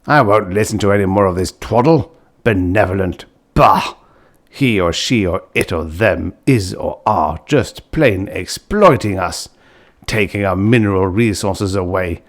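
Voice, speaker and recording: A man's voice: sits low, a little slow. A man voicing a cantankerous old grandfather in an audiobook story, scornful and suspicious, blustering and grumbling.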